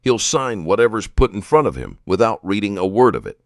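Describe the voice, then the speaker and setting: A man reading scripted true crime narration in measured, dramatic short phrases. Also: lowish voice, sing-song, dry recording, clean studio sound.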